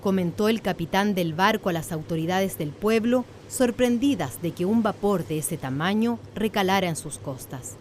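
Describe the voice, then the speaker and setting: A woman's voice: medium pitch, lively intonation, normal speed, hardly any pauses, darkish tone, a little hiss or hum. A woman with a Chilean accent reading scripted narration for a radio drama.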